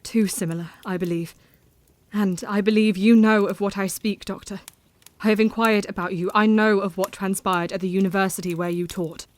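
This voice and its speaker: A woman's voice: mid-range voice, steady tone, moderate pace, close to an everyday voice. A woman playing a frank, troubled but resolute Victorian wife in an audio drama, speaking formally and earnestly. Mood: troubled, confiding.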